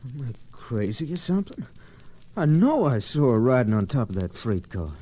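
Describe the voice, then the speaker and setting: An actor playing a bewildered, wary, hard-bitten western man in an old-time radio drama, halting and questioning. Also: medium pitch, big pitch swings, mellow. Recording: slightly noisy old radio recording.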